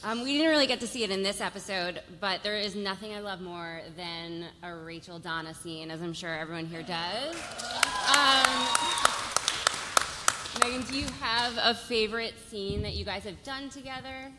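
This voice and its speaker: A woman with a mid-pitched voice moderates a live panel. She puts questions to the guests. The recording is echoey, with plain background noise. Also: normal speed. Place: large hall at a live festival event. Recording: noisy echoey hall, live event.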